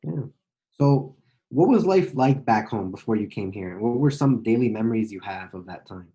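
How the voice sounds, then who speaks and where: A man's voice: on the low side, animated, moderate pace, warm tone. An American man interviewing casually, talking loosely with lots of "like" and restarts. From United States.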